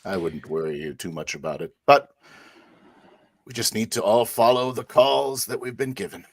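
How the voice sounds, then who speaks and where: A man's voice: mid-range voice, normal speed, gravelly, dry recording, clean studio sound. A man improvising as a devout, genteel inquisitor priest in a tabletop roleplaying game, with a hesitant, insinuating manner. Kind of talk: improvised in-character roleplay and narration.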